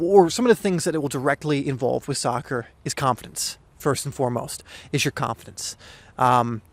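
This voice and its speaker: A young man hosting a soccer podcast, talking casually and earnestly with a hoarse, slightly weak voice and frequent restarts. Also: mid-range voice. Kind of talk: casual solo podcast talk.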